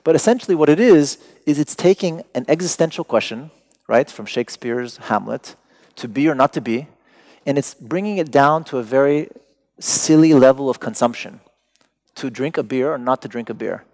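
Gravelly male lecturer, native Persian speaker. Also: mid-range voice, expressive, normal speed, a little mellow. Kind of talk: public lecture, conversational but prepared. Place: large dim hall with stage and seated audience.

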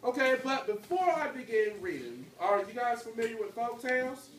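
A man's voice: extremely high pitch, big pitch swings, moderate pace. A man in his thirties reading aloud to a class as their teacher, often stopping to check they understand. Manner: checks understanding often, tagging phrases with okay. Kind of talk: classroom read-aloud with questions to pupils. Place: school classroom, indoors. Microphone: phone held by someone across the room. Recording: echoey noisy classroom from a distance, phone recording.

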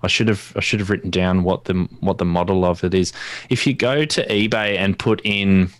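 Aussie guy in his 30s, deeper voice, laid out tech explainer on a stream, dry close mic sound. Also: steady tone, moderate pace, dry recording. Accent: Australian. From Australia. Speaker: guest ham radio expert. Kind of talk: casual technical explaining on a livestream. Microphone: close studio mic on boom arm, with headphones.

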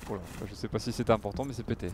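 A man's voice: on the low side, steady tone, very fast, heavy background noise. A man giving casual live game commentary, muttering to himself and swearing freely. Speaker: game streamer.

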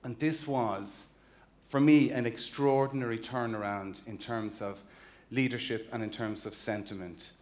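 A man's voice: mid-range voice, fairly even intonation, unhurried, a little mellow. Irish man giving an emphatic keynote. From Ireland. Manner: earnest, emphatic, with frequent restarts. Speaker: chief executive giving a keynote. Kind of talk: conference keynote speech. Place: large convention hall stage. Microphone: stage mic, walking the stage with a slide clicker.

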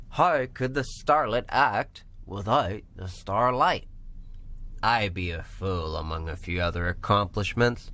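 Slow, low-voiced male spoken word poet. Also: pushed and bright. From Northern Ireland. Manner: measured rhythmic recitation with rhymes. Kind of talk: spoken word poetry performance. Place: small room or pub.

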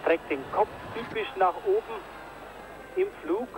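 A man giving live commentary on a ski jumping event, getting excited on big jumps, from an old broadcast tape. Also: sits high, unhurried, noisy background. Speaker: sports commentator.

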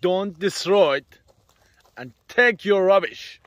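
High-voiced man talking slowly. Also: fairly bright tone, no room sound. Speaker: companion on a camping trip. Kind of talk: casual chat.

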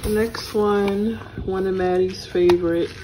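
A woman's voice: mid-range voice, fairly even intonation, measured pace. A woman chatting casually as she hosts a toy haul vlog, recorded indoors at home. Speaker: co-host aunt showing toys. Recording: clear background household noise.

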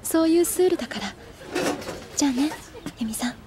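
An actress playing a composed teenage schoolgirl in an anime audio drama, soft-spoken, polite and detached. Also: sits high, lively intonation, a little fast, noisy background. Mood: cool, detached.

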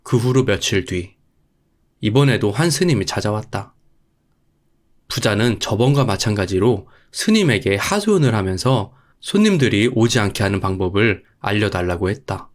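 A man's voice: fairly low pitch, lively intonation, normal speed. A man narrating a scripted folktale as a storyteller.

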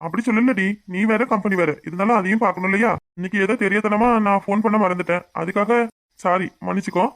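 Fast-talking, affectionate young husband played by a man. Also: high voice, steady tone, a bit bright, no room sound. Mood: exasperated, affectionate. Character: affectionate, teasing young husband, soothing and apologetic. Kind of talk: domestic serial drama acting.

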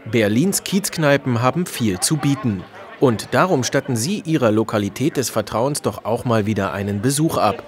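A man's voice: mid-range voice, expressive, moderate pace. A man reading scripted promotional narration for a television report. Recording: voice-over with crowd sounds behind.

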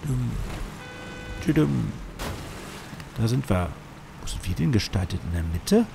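Gravelly man with a cold. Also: medium pitch, big pitch swings, measured pace, background noise. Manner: rambling, hesitant, lots of fillers. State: has a cold, sniffling. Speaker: gaming YouTuber. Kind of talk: casual let's-play commentary.

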